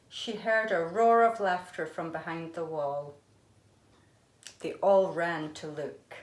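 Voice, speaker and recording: A woman, an art teacher, reading a children's storybook aloud expressively. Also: lowish voice. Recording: echoey room.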